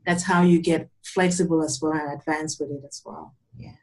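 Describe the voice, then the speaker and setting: A young adult woman from Cameroon, a yoga teacher calmly and earnestly explaining her practice in an interview. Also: low voice, normal speed. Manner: calm, earnest, explanatory with frequent restarts. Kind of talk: interview answers, conversational.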